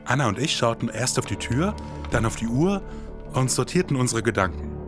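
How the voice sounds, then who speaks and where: Man acting a laconic detective, animated intonation, terse, audio drama narration, music behind. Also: medium pitch, a little fast, close to an everyday voice. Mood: noir storytelling. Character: laconic male detective narrating his case.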